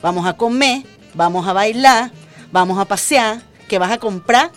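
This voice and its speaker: A Venezuelan woman co-hosts a radio talk show at a medium pitch, with a Venezuelan accent. She talks in a chatty, explanatory way. Faint music plays under her voice. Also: moderate pace. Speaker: radio co-host. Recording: music bed behind the voice.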